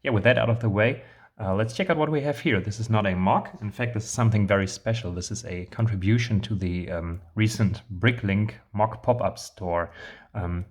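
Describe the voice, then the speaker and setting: A man with a hoarse, recovering voice, hosting a casual review of a building set, hesitant with many fillers but enthusiastic. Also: fairly low pitch, normal speed. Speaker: channel host reviewing building sets. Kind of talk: casual product review vlog.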